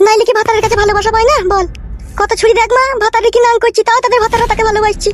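A squeaky cartoon village lady, rural west bengal accent, complains and curses super fast. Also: level tone. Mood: scolding. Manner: scolding, complaining. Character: shrill, foul-mouthed, nagging village woman. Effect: pitched-up cartoon voice. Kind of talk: comedy cartoon dialogue.

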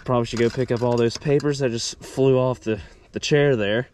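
A man's voice: medium pitch, fairly even intonation, fairly quick, a little mellow, slight background noise. A man hosting a fishing video, speaking to viewers in a casual, slangy way. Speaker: fishing video host and competitor. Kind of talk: casual outdoor vlog chat with a scripted sponsor read.

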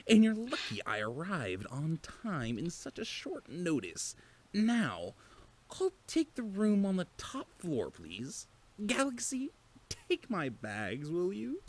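A woman's voice: on the low side, wildly swooping pitch, slow, darkish tone, a little hiss or hum, heavily acted. A woman playing a smug, mischievous male chaos creature in a cartoon, teasing with a theatrical drawl. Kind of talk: animated fan cartoon acting.

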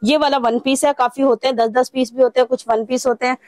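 A woman's voice: high voice, fairly even intonation, quick pace, darkish tone, dry recording. Matter-of-fact Pakistani shopkeeper woman. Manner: brief, matter-of-fact answers. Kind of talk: casual chat, showing goods.

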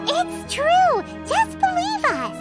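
Perky, blunt little anime-game fairy, childlike squeak, very animated, slow delivery, music underneath. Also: female voice, full character voice. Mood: spirited. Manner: cutesy, cheeky, exclamatory. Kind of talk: anime video game dialogue. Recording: music behind the voice.

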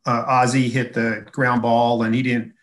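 A man, a reporter asking questions at a press conference, measured with small pauses. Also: mid-range voice, level tone.

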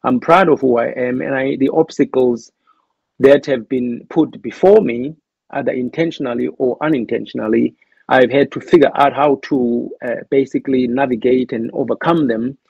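A man's voice: medium pitch, steady tone, normal speed, creaky, darkish tone, husky, no echo, highs cut off. A man with a South African accent, speaking as an interview guest in a thoughtful, hesitant way with many restarts. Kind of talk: reflective interview talk.

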